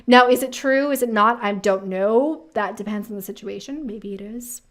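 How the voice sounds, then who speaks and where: Woman, slightly high voice, casual video host, informal slangy phrasing, opinionated chatty commentary. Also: normal speed, fairly bright tone. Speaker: career advice video host.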